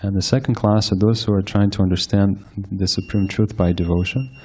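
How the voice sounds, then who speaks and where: Soft-spoken low-voiced man giving a sermon. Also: fairly even intonation, brisk. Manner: soft-spoken, hesitant with many fillers and restarts. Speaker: speaker giving a scripture class. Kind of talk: sermon-style lecture. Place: temple hall with live audience.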